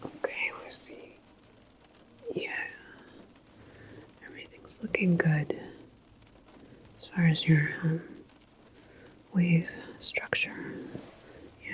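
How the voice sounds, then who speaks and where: Woman playing a slow whispering sci-fi station attendant. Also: fairly low pitch, fairly even intonation, rough recording. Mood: calm, reassuring. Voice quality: soft, breathy whisper. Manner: unhurried, halting, reassuring, gently chatty. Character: calm, reassuring female wormhole transit station attendant. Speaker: ASMR roleplayer. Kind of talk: whispered sci-fi ASMR roleplay.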